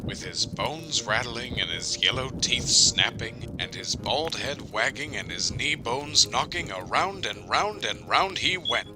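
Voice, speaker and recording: A man's voice: mid-range voice, animated, unhurried, hardly any pauses, background noise, lightly acted. A man playing a folksy village storyteller, telling a spooky tale rhythmically and expressively in an audio drama. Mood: relishing, spooky.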